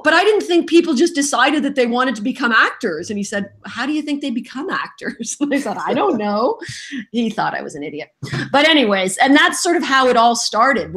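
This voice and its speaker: Lively, self-mocking actress telling stories. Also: female voice, fairly high pitch. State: just getting over a cold. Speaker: voice actress guest. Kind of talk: casual interview chat.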